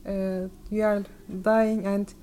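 A woman with a Turkish accent interprets carefully, often restarting her phrases. Her delivery is flat and even, with a warm, mellow tone. A faint hiss sits behind her. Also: mid-range voice, slow pace. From Turkey.

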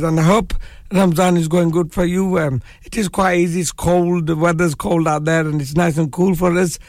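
A man hosting a live community radio show, hoarse with a cold and croaky, chatting in a relaxed way with many fillers. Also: fairly high pitch, dry recording, clean studio sound. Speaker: radio presenter. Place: radio studio.

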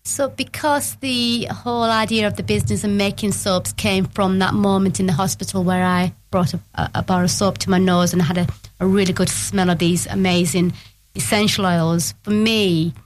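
A middle-aged woman guest on a radio interview, explaining her small business eagerly and warmly, with the odd restart. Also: medium pitch, steady tone, moderate pace, slight background noise.

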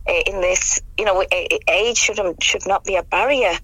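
A middle-aged woman from Britain, slightly hoarse, chatting enthusiastically as a radio studio guest. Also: mid-range voice, moderate pace, fairly bright tone, dry recording, poor audio. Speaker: radio guest, amateur actress. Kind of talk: casual radio interview chat.

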